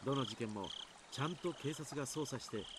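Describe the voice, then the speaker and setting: A man's voice: medium pitch, racing, very noisy, lightly acted. An actor voicing an easygoing, casual adult man in a mystery game. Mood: reassuring.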